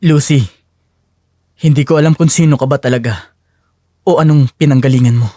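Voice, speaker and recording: A lovesick young husband played by a woman with her voice dropped low in an audio melodrama. He is earnest, weak-willed and pleading, recorded very dry. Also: normal speed, dark tone, dry recording. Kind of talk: dramatic audio story acting.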